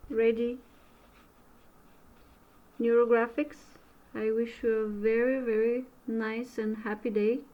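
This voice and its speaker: A woman from Bulgaria with a Bulgarian accent, a native Bulgarian speaker, teaching an art method in a casual demo and often pausing to search for words in English. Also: on the high side, dull audio. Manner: slow, halting, searching for words. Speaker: art instructor.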